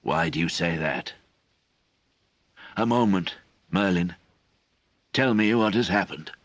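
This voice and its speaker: A man reading an audiobook, voicing an old stooping hermit sage who speaks gently and steadily. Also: deep voice, expressive, slow pace, dry recording, full character voice. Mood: gentle. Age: older.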